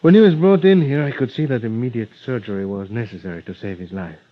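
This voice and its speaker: An actor playing an earnest, grieving doctor with a Spanish accent, speaking formally and haltingly in vintage radio drama style. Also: male voice, medium pitch, close to an everyday voice. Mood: solemn, grieving. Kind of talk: 1940s-50s radio drama acting. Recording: dull vintage radio recording.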